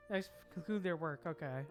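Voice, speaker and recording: A woman streamer giving casual live commentary on a game, giggly and cooing fondly at the characters. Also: on the low side.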